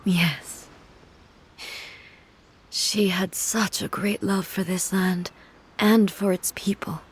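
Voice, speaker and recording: An actress playing a solemn, regal goddess mourning her sister in a video game, speaking in a soft, hushed, measured way. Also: medium pitch, steady tone, a little hiss or hum, natural voice. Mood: sorrowful.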